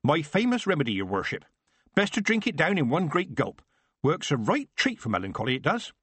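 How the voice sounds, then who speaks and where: A man voicing a cheeky old village witch woman, folksy and teasing, his voice pushed up high. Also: huge pitch swings, brisk, fairly bright tone, slightly rough, no echo, full character voice.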